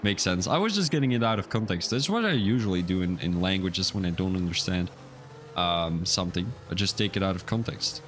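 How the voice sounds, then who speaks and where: A young man giving casual livestream commentary on a sim racing league, chatting loosely and then getting excited when calling incidents. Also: sits low, fairly quick, a little hiss or hum. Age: young adult.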